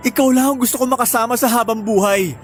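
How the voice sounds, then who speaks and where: A man's voice: extremely high pitch, fast. A guilty young boyfriend with a Filipino accent. He pleads and explains in an earnest, worn-out way, in radio drama style over faint background music. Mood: apologetic. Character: guilty, apologetic young boyfriend, weary and self-justifying.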